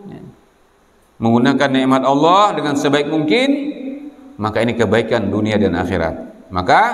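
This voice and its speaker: A man's voice: medium pitch, fairly bright tone. A man from Indonesia preaching to students in a didactic way, putting questions to his listeners, in a reverberant hall. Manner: didactic, asks rhetorical questions and prompts listeners to answer. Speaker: Islamic boarding school preacher. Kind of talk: sermon to students. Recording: echoey hall with long reverb.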